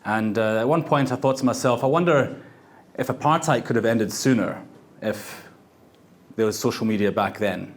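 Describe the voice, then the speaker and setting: A man who grew up in South Africa giving a meetup pitch talk, halting, with frequent fillers and restarts. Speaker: startup founder presenting. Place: event venue.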